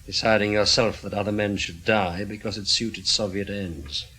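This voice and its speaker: An actor playing an earnest, principled younger British intelligence investigator in a radio drama, asking plain, probing questions. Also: male voice, fairly low pitch, fairly even intonation, normal speed, pushed and bright, slight background noise, rough recording. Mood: probing, sceptical. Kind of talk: naturalistic radio drama acting.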